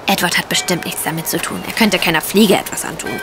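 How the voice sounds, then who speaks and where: A woman's voice: on the low side, steady tone, fast talker, music in the background, natural voice. An actress playing a naive, trusting, polite young woman in a radio play. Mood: earnest, protective. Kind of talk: German radio play acting.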